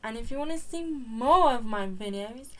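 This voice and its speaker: A woman hosting a children's storytime, reading a picture book aloud in a slow, expressive, sing-song way. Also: a little high. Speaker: storytime host. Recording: roomy distant sound with loud music. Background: loud music.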